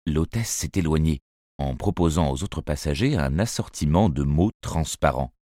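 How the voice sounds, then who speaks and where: A man reading a children's novel aloud as first-person narrator, in a measured, expressive storytelling manner. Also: extremely low pitch, dry recording, studio quality. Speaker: audiobook narrator.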